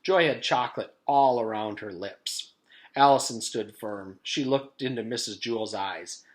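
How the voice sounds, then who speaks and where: A man's voice: medium pitch, measured pace. A man reading a children's book aloud in the style of a teacher's storytime, narrating expressively.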